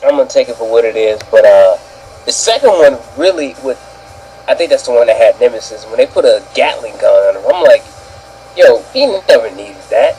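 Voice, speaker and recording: A man's voice: medium pitch, expressive, fairly quick, darkish tone, soft background music, rough recording. A man giving his opinions as a panelist in casual, colloquial talk, often quoting his own reactions. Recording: background ambient noise.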